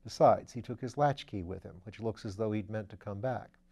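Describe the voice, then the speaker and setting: Methodical police detective, steady and low-key, read by a man, audiobook. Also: slightly rough. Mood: matter-of-fact. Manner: calm, matter-of-fact, measured.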